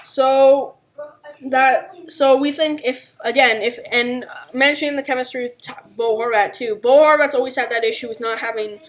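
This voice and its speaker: High-voiced woman co-hosting a sports podcast. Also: steady tone, moderate pace, a little hiss or hum, rough recording. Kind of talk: unscripted hockey analysis discussion.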